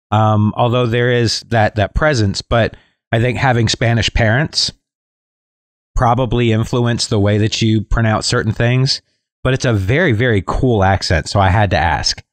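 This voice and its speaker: Co-host: man, lowish voice, measured and curious, dry sound. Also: dry recording. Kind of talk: casual interview.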